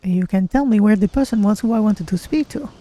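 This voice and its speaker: A gentle, musing cat-folk adventurer played by a streamer with a dark, mellow, mid-pitched voice. The phrasing is deliberate and formal, like a weary traveler thinking aloud in a fantasy game. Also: lightly acted. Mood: musing, calm. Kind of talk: in-character game roleplay.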